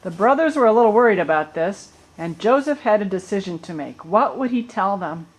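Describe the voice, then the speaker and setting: A woman's voice: medium pitch, lively intonation, fairly quick, low-quality recording. A woman narrating a puppet show, telling the story with colloquial asides.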